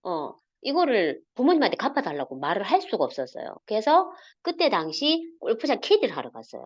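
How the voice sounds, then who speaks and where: A woman in her forties with a Gyeongsang accent, giving a halting, filler-heavy guest talk over a video call. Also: highs cut off. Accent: Gyeongsang Korean. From South Korea, Gyeongsang region. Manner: halting, many fillers, lively pitch swings. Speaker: guest speaker.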